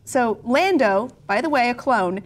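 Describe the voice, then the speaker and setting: A woman with a slightly high-pitched voice hosts in a casual, comic way. She sounds conversational and informal. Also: animated, measured pace. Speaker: host.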